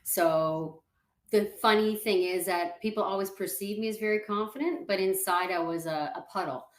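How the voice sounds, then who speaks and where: Casting: woman confidence coach, calm and explanatory, mid-pitched voice, roomy echoey call audio. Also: moderate pace. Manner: calm, explanatory, walks the listener through exercises. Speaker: confidence coach, interview guest. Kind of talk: video call interview. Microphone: video call setup.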